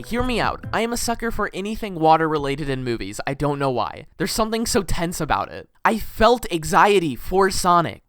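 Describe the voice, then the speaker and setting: Animated, excitable YouTuber with swooping pitch. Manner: exclamatory, informal slang, playful mock outrage. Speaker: YouTuber reviewing a film. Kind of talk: casual, excitable video review commentary. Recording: voice over background music. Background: music.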